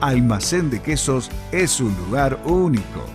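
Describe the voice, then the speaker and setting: Un hombre con acento argentino que lee un anuncio comercial con guion. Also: male voice, medium pitch, expressive, measured pace. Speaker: advertisement announcer. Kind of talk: scripted commercial narration. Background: music bed.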